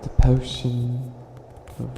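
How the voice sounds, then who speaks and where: A man's voice: mid-range voice, completely flat delivery, creaky. Man playing a flustered wicked witch, echoey room. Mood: proud, wistful. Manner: stammering, flustered, dramatic drawn-out emphasis. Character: flustered self-styled evil witch, hopelessly helpful, theatrical. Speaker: ASMR roleplay performer. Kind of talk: ASMR character roleplay, comedic monologue. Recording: echoey room with audible background noise.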